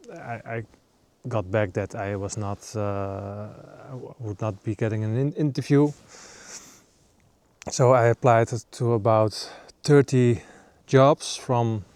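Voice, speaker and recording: A man in his thirties from the Netherlands, a native Dutch speaker, talking casually to camera in a halting way with many fillers. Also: mid-range voice, a little mellow, smooth, no echo. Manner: halting, many ums and uhs. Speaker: nature vlogger. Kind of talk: casual vlog talk to camera. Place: outdoors in northern Sweden.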